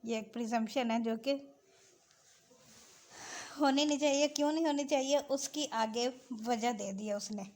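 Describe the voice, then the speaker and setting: A woman with a Pakistani accent giving an explanatory lesson as a law lecturer, switching between two languages and slowing down to read out clauses. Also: a little high, darkish tone. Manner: switches between Urdu-Hindi and English, slow when reading out clauses. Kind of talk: explanatory lesson, reading out and explaining legal text.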